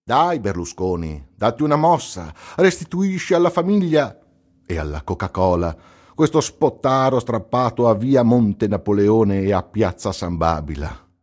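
Husky, swooping, sneering male columnist played by an actor. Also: on the low side, very animated, unhurried, darkish tone. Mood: derisive. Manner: sarcastic, scornful, theatrical. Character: sneering, sarcastic male newspaper columnist mocking a captive. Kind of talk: dramatic recital of a newspaper column on radio.

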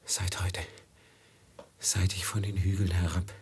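An actor in a German radio drama playing a brooding, fearful merchant, speaking in a hushed, poetic, ominous manner. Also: male voice, low-pitched, level tone, unhurried, a little hiss or hum. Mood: haunted, fearful. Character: brooding, fearful merchant haunted by dark premonitions.

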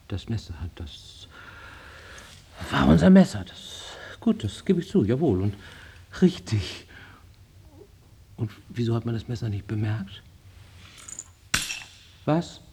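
Agitated, rambling psychiatric patient, slightly husky. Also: male voice, mid-range voice, sing-song, slow, long pauses, warm tone, full character voice. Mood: agitated, defensive. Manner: rambling, fragmented, insistent self-justification. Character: agitated male psychiatric patient defending himself against accusation. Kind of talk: radio drama monologue.

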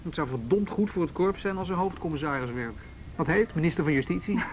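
A man playing an earnest, loyal policeman in a radio play. He has a fairly high voice and a casual, colloquial way of talking, with faint rumble behind him. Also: expressive, fast, natural voice, low-quality recording. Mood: admiring. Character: earnest, admiring policeman defending the force. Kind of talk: Dutch radio drama acting.

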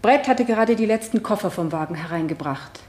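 A woman's voice: mid-range voice, expressive, fairly quick, darkish tone, roomy sound, close to an everyday voice. An actress playing the earnest wife of an accused man, giving simple, sincere testimony in a German radio drama. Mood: earnest recounting.

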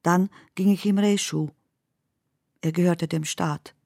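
A woman performing as the narrator of surreal, dreamlike poetic prose in a radio play, speaking in a measured, deliberate manner. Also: on the low side, fairly even intonation, gravelly, darkish tone, dry recording, clean studio sound.

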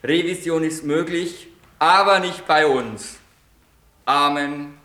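A man's voice: on the high side, slow pace. A man playing a pompous, stern judge in a satirical radio play, commanding and mocking. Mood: solemn. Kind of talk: 1980s amateur radio play. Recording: roomy echo, faint hiss.

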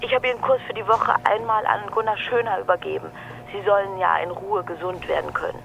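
A woman's voice: medium pitch, fairly even intonation, brisk, creaky, fairly bright tone, slight background noise, low-quality recording. An actress playing an effusive, apologetic office woman talking over the telephone in a radio drama. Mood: apologetic, concerned. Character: chatty, solicitous adult education office woman on the phone.

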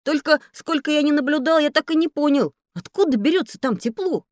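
A woman's voice: high-pitched, expressive, brisk, no room sound, studio quality. Eager boastful little boy. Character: cheeky, boastful, talkative little boy, Petya. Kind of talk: scripted educational audio play.

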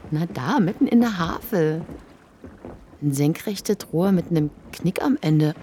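A woman's voice: low-pitched, animated, unhurried, dark tone, slight background noise. An actress playing a curious wartime woman on a river, in a radio play. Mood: curious, whispery excitement. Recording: music and boat sounds behind.

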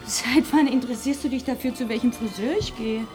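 A woman's voice: fairly high pitch, fairly quick, loud music behind the voice, natural voice. A woman playing an anxious, reproachful wife in a radio play, with a pointed, querulous way of talking. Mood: reproachful, worried. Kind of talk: German radio play acting.

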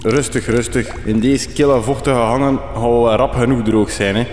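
A man's voice: medium pitch, fairly even intonation, normal speed, a little mellow, natural voice. A man plays a folksy, unbothered sidekick in a radio drama. He talks in colloquial Flemish dialect, and his voice rings in a cavernous echo with faint noise. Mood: folksy, easygoing. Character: laid-back male sidekick, folksy, would-be musician.

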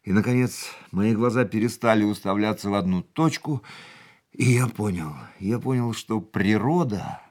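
An actor in a radio play playing a world-weary, reflective racing driver, speaking in a measured, literary way. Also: male voice, lowish voice, darkish tone, lightly acted. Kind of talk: literary radio drama acting, monologue and dialogue.

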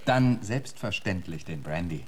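An actor playing a witty, flirtatious English poet in a radio play, speaking in polished epigrams. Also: male voice, low voice, lively intonation, a little slow, darkish tone, husky, heavily acted. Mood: suave, dry.

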